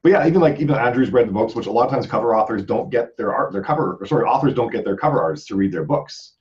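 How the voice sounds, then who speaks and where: A man's voice: mid-range voice, expressive, rapid-fire, a little mellow, dull audio. A man hosting a casual group podcast, rambling along talkatively. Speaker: podcast host and author.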